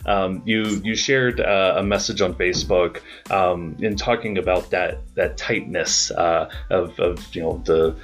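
A man with a very deep voice hosts a relaxed interview. He speaks haltingly, with plenty of "uh" and repeated words. Soft music sits faintly behind him. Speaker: podcast host. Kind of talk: casual interview.

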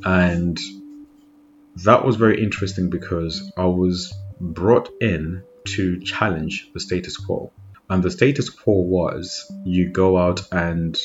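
A man from Zimbabwe hosting a podcast, talking in a relaxed, reflective way. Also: low voice, takes it slowly. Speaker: podcast host. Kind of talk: casual talk. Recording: dull lo-fi sound with faint noise.

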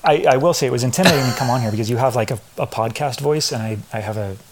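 A man's voice: mid-range voice, racing, a little hiss or hum. A man in his thirties with a slightly nasal voice, a podcast guest talking casually, full of fillers and restarts. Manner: hesitant, rambling, many restarts and fillers. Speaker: podcast guest, former runner. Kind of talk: casual interview chat.

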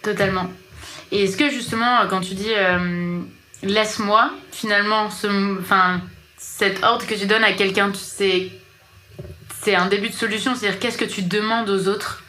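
A woman's voice: medium pitch, fairly even intonation, moderate pace, bright, forceful tone, a little hiss or hum. A woman interviewing a guest, asking probing questions in a conversational way.

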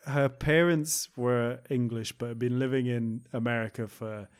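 A young man co-hosting a podcast in a casual chat, adding quick interjections and short anecdotes. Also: mid-range voice, fairly even intonation, clear, resonant tone. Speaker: podcast co-host.

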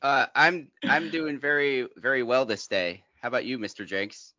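Playful bright-voiced man in his thirties. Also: mid-range voice, lively intonation, normal speed, dull audio, a little hiss or hum. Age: 30s. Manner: playful, hesitant, laughing. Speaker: podcast co-host. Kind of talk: casual podcast chat.

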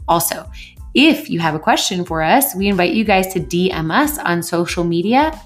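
Low-voiced Minnesotan woman podcast host. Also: lively intonation, normal speed, faint music behind. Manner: encouraging, earnest. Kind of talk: casual faith podcast chat.

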